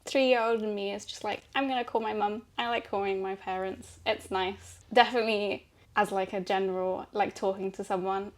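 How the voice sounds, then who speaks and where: A soft-spoken woman in her twenties, originally from Germany, chatting casually as a podcast guest. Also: mid-range voice, steady tone, moderate pace. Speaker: guest, journalist. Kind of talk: casual chat.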